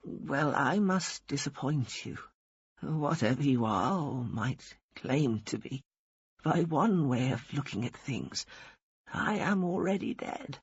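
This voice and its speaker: A man's voice: mid-range voice, animated, takes it slowly, slightly rough, no room sound, lo-fi sound, voice pushed up, full character voice. A man voicing a dying, cantankerous old woman who runs a religious refuge, blunt and scornful.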